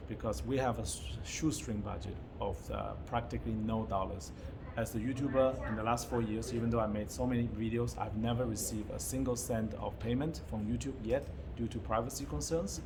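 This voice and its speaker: A man's voice: fairly low pitch, background noise. A man vlogging to camera in a public spot, chatty and playfully mock-secretive, with plenty of fillers and restarts. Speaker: YouTuber vlogger. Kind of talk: casual vlog to camera. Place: public venue with outside view.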